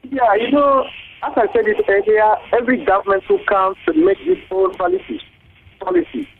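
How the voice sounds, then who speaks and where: A man's voice: extremely high pitch, lively intonation, moderate pace, gravelly, slight background noise. A man with a Ghanaian English accent, a teachers' association official interviewed on radio, talking hesitantly with many fillers and repeated words. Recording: tinny phone line.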